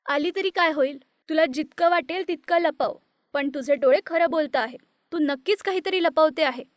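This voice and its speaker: Cartoon storybook: woman voicing a bold, protective young woman, high voice, dry mic. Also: moderate pace, dry recording, natural voice. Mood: insistent.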